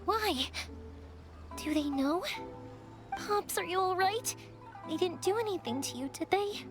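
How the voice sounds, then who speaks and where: An actress playing a cheerful young fireworks-maker who is friendly and eager to help. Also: female voice, very high-pitched, expressive, measured pace. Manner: bright and friendly, earnest. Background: game music.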